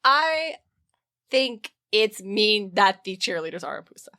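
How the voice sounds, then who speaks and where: A woman's voice: medium pitch, very animated, unhurried, pushed and bright. A woman co-hosting a podcast in casual, slangy, emphatic conversation. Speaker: podcast co-host.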